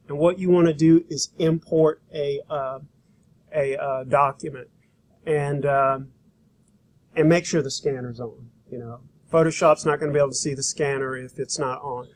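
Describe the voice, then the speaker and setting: A man giving an informal, hands-on software demonstration as an instructor, talking in a casual, rambling, folksy way. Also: a little high. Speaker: graphic design instructor. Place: school computer lab.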